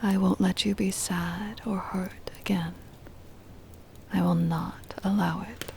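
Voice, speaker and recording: A possessive, ancient vampire mistress acted by a woman whispers intimately in an ASMR roleplay. Her breathy voice is heavily laced with fry and soft, dark in tone. She coaxes with doting tenderness and quiet menace, over a noisy ambient bed. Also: mid-range voice, steady tone. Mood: devoted, possessive. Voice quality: breathy whisper with creaky edges. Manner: slow, coaxing, possessive endearments.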